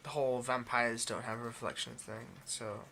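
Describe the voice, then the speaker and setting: A man acting out a male vampire who works as a lab technician, in an intimate ASMR roleplay. His voice is crisp and bright with a creaky edge, and he talks in a stammering, overly courteous way that hides an obsession. A faint noise sits behind him. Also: mid-range voice, flat delivery, normal speed. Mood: secretive, earnest. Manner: hesitant, stammering, overly polite.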